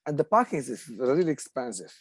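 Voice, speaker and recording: A man's voice: mid-range voice, very animated, brisk, husky, dry recording, clean studio sound. A man in his forties from Morocco, an adult English student speaking hesitantly with many fillers and restarts. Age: 40s. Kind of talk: casual conversation.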